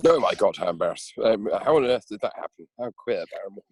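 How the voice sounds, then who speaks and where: Guy playing flustered posh heir, husky lowish voice, theatrical, dry sound, comedy radio play. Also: animated, fast talker, darkish tone, no room sound. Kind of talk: comic amateur radio play reading.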